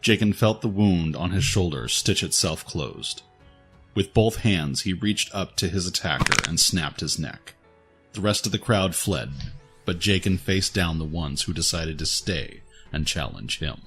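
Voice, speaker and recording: Deep-voiced man narrating dramatic fiction. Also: expressive, measured pace, fairly bright tone, slightly rough. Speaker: story narrator. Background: faint background music.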